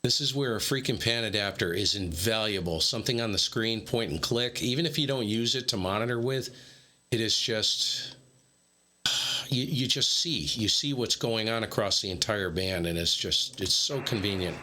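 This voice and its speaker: A man's voice: fairly low pitch, normal speed, bright, forceful tone. A man hosting a live amateur radio stream, mixing slangy, enthusiastic casual commentary with contest exchanges. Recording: clearly noisy room, radio static, clicks.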